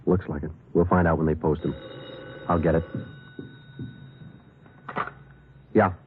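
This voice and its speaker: A man's voice: deep voice, flat delivery, brisk, a little hiss or hum, lightly acted. A man with an American accent playing a terse, businesslike police sergeant in an old radio crime drama, narrating in a clipped, matter-of-fact way. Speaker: lead actor and narrator. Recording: muffled old radio broadcast, music behind.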